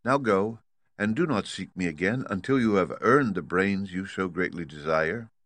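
A man voicing a lovely winged lady ruler who speaks in a cool, measured, imperious way in a storybook reading. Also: sits low, fairly bright tone, no room sound.

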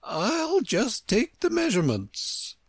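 A man voicing an imperious, brisk, bossy yet kindly chess Queen from a storybook, in a shrill, swooping voice with drawn-out, emphatic delivery. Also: wildly swooping pitch, gravelly, husky, no room sound, clean studio sound, full character voice. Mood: brisk, condescending. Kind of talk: storybook character voice.

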